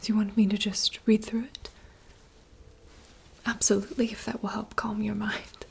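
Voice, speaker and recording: A woman in a gentle, reassuring ASMR roleplay whisper, offering help as a friend. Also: medium pitch, level tone, moderate pace, gravelly, slight background noise. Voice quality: soft unvoiced whisper.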